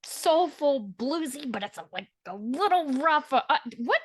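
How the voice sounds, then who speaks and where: A woman co-hosting a podcast, enthusiastic and quick to laugh. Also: high voice, animated, no echo. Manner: enthusiastic, giggly. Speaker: podcast co-host. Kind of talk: casual podcast chat.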